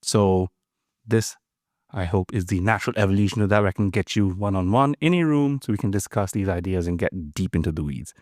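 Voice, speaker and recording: A man's voice: deep voice, fairly quick, a little mellow, no room sound, studio quality. A man hosting a podcast, enthusiastic and friendly as he introduces and questions his guest. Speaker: podcast host. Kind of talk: casual interview chat.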